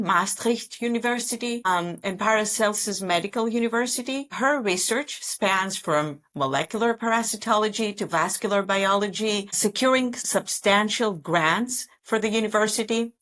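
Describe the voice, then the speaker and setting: A woman's voice: mid-range voice, a bit bright. A woman speaking as a podcast host, enthusiastic and complimentary as she presents and interviews. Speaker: veterinary specialist. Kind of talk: scripted introduction and interview talk.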